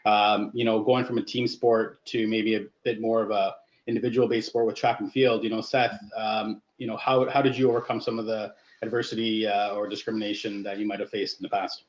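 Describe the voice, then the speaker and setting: A man's voice: lowish voice, little pitch movement, brisk, few pauses. A man guest-hosting a panel discussion as a coach, speaking hesitantly with many fillers. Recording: echoey, dull lo-fi sound.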